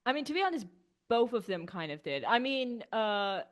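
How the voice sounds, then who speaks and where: A woman's voice: a little high, big pitch swings, moderate pace. Woman, from Hungary, native Hungarian speaker, podcast co-host, frank casual film chat. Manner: chatty, frank, many fillers and restarts.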